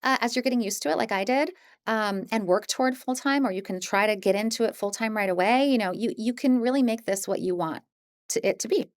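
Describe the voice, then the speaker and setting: Female course presenter, medium pitch, informal and encouraging, talks like a mentor, very dry recording. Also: no echo. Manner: chatty, encouraging, informal and self-deprecating. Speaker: audiobook narrator teaching an introductory course. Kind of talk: casual, conversational course presentation.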